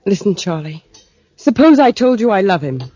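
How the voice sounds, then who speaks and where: A woman's voice: mid-range voice, big pitch swings, moderate pace, warm tone, no echo. An actress playing a cool, guarded married woman with a criminal past in an American old-time radio drama, speaking in a clipped, sardonic, world-weary way. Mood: cool, defiant. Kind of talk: 1940s radio drama acting. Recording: dull old-time radio recording with music.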